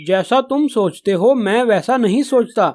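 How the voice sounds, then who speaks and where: A man's voice: very high-pitched, unhurried, darkish tone. A man voicing a selfish, defiant male crow in a children's cartoon, blunt and dismissive. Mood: defiant, scornful. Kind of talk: Hindi children's cartoon dialogue.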